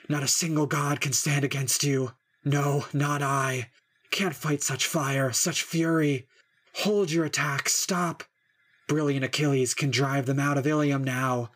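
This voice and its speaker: A river god, scorched and defeated, cries out in panic, his voice pushed high and strained as he begs for mercy, as a man puts him on in an epic reading. The pleas come in short, frantic bursts. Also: a bit bright. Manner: panicked pleading. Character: beaten river god, male, burning and pleading.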